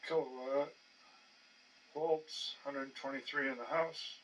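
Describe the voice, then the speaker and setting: An older man giving casual, rambling commentary as he tinkers, halting and muttering to himself. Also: medium pitch, fairly even intonation, dull audio. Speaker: hobbyist narrating his own projects. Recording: distant mic with faint background noise.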